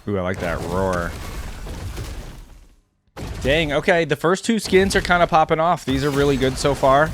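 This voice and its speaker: A man hosting a gaming reaction video, commenting casually off the cuff and thinking out loud with easy surprise. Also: a little high, animated, normal speed, long pauses, faint music behind. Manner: easily amused, thinks out loud, exclaims with surprise.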